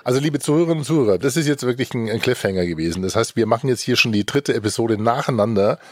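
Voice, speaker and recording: A man's voice: mid-range voice, lively intonation, rapid-fire, a little mellow, no room sound, studio quality. A man hosting a casual panel podcast in a jokey, rambling way. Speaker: podcast host.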